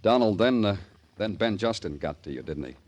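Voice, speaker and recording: An actor playing a tough, dogged homicide detective with a terse, dry manner in 1950s radio crime drama. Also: male voice, fairly low pitch, animated, fairly quick, lo-fi sound, lightly acted. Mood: dry, pressing.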